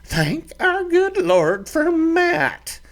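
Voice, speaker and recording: A man voicing a small, fiery-tempered ranch mother who scolds and bosses her grown son, with a sharp, high put-on voice. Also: big pitch swings, very slow, exaggerated voice. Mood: scolding.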